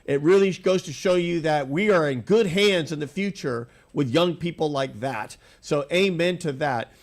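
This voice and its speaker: A man's voice: a little high, normal speed. Upbeat nasal male radio host. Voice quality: nasal from allergies. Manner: upbeat, rambling questions. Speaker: radio talk show host. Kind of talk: casual radio interview. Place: radio studio.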